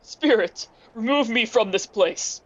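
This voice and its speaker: A teenage girl playing a troubled, remorseful old male miser in a radio play, earnest and halting. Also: fairly high pitch, animated, muffled recording. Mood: pleading. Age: teens. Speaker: student actor.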